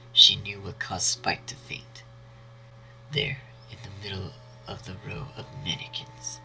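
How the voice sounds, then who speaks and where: A man narrating a scripted dark fan story in a dramatic storytelling style. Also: low-pitched, gravelly, poor audio. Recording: faint music behind.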